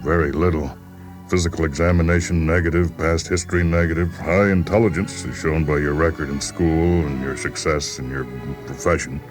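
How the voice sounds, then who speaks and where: A man's voice: rumbling low, steady tone, moderate pace. A man voicing an affable, patronizing doctor who hesitantly coaxes a patient in a radio story reading. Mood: clinical, reasoning. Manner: hesitant, patronizing bedside chumminess. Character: affable male doctor coaxing a stubborn psychiatric patient. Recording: voice over background music.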